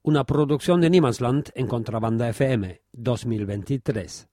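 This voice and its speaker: Guy hosting a radio show, reading scripted intros, mid pitch, really dry sound. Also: moderate pace, warm tone, no echo. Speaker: radio host.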